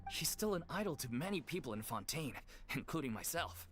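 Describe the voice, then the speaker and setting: An earnest respectful troupe leader. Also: male voice, a little high, very animated, fairly quick, fairly bright tone, faint music behind.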